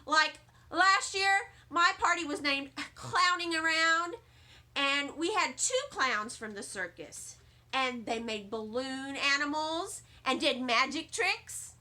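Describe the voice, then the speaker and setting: A woman's voice: high voice, animated, slow pace, bright, forceful tone, full character voice. A woman voicing a mean, bragging little boy who taunts and hollers in a children's storybook. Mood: boastful. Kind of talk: storybook read-aloud for children.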